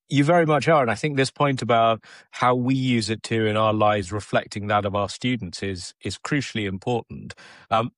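A man's voice: mid-range voice, dry recording, studio quality. A man interviewing a guest, asking long, searching questions with restarts.

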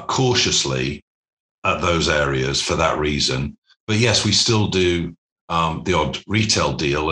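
Male business interviewee, extremely low but crisp-edged voice, articulate and corporate, recorded with noticeable room echo. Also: pushed and bright. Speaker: private equity executive being interviewed. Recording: roomy, echoing sound.

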